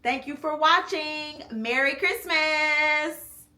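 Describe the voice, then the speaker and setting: Mom doing storytime at home, slow and gentle, kinda echoey room. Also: female voice, high voice. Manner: slow, gentle and encouraging, prompting her child. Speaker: parent reading a picture book aloud with her child. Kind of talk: read-aloud storytime and casual chat.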